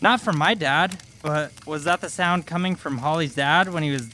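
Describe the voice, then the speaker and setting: A man's voice: a little high, moderate pace, bright, forceful tone, slight background noise. A man playing a scared, doubtful boy who speaks hesitantly in an audiobook drama. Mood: anxious, doubtful. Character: scared, doubtful boy defending his father. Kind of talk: audiobook acting.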